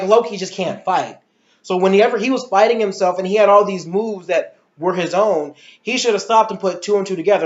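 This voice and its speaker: A man giving casual, opinionated film commentary in an emphatic, ranting way full of rhetorical questions. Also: high-pitched. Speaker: movie reviewer. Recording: muffled, echoey room recording.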